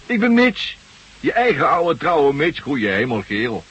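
An actor playing a jovial, loyal trucker pal, chummy and teasing, in a Dutch radio drama. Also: male voice, fairly high pitch, wildly swooping pitch, measured pace, fairly bright tone, husky, dull audio, full character voice. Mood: hearty. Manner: hearty, familiar, teasing. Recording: faintly hissy old radio recording.

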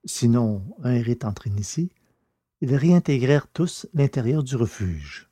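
A man narrating a scripted story in a measured, literary reading style. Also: medium pitch, animated, warm tone, slightly rough.

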